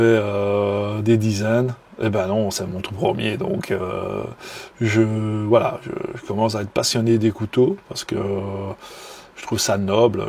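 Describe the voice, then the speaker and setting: A Belgian man with a Belgian French accent, talking casually and enthusiastically in a video review. His voice is low and gravelly with a husky edge. He hesitates often, filling pauses and restarting his sentences. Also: a little mellow. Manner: hesitant, many fillers and restarts; enthusiastic, admiring. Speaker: knife hobbyist vlogger.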